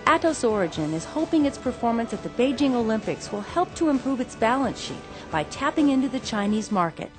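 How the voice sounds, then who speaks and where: Woman reporter, lively news narration. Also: on the high side. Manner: clear, measured delivery. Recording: voice-over with music bed.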